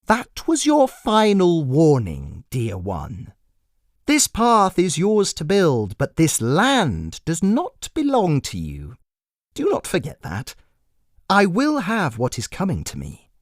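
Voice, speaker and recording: Man putting on a menacing high-pitched evil jester. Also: huge pitch swings, no room sound, studio quality, exaggerated voice. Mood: stern menace. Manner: slow, deliberate, theatrical menace. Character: menacing male jester, a sinister supernatural clown. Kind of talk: dramatic fantasy storytelling.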